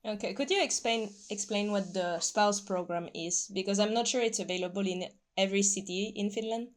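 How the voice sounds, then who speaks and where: A woman's voice: mid-range voice, brisk. A woman hosting an online radio interview, introducing the guest and asking questions in a friendly way with frequent fillers. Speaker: interview host.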